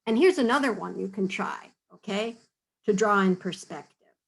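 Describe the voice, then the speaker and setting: A woman's voice: medium pitch, animated, moderate pace, vocal fry, husky, low-quality recording. A woman reading a picture book aloud to children, then patiently explaining drawing tips and checking in with them often. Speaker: storybook reader and teacher.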